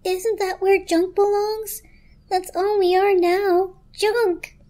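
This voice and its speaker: A storybook electric blanket in despair. The voice is very high and shrill, drawn out into overwrought, self-pitying wails. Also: female voice, little pitch movement, takes it slowly, warm tone. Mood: hysterical despair. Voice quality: shrill, shrieking. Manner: wailing, overwrought.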